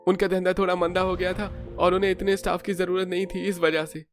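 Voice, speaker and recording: A man's voice: high-pitched, little pitch movement, a little fast, soft background music, voice pushed up. A man voicing an earnest, worried young working man from a poor family in a cartoon.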